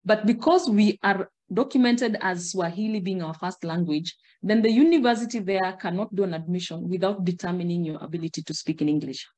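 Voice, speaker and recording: A middle-aged Kenyan woman speaks as a guest on a video call. She explains things in a measured, steady way and gestures as she talks. Her voice sits a little low for a woman. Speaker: guest, migration agent. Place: indoor room.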